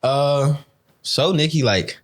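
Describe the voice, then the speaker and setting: A man hosting a podcast, bantering with slang and jokes. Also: slow pace. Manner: joking, slangy banter with lively swings in pitch. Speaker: podcast host. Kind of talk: casual chat.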